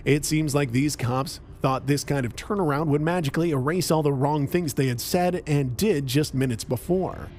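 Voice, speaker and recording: A man narrating a police-video commentary in a scornful, editorializing way. His voice sits a bit high, with a faint hum of ambience behind it. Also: moderate pace, hardly any pauses, a little mellow. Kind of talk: scripted narration.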